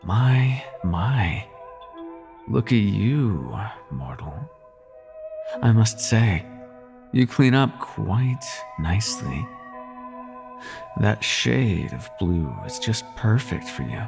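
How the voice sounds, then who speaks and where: A man's voice: lowish voice, big pitch swings, drawn-out words, gravelly, long pauses, music in the background, exaggerated voice. A man playing a suave, teasing demon prince of nightmares in an intimate audio roleplay, with courtly, lingering delivery. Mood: teasing flattery.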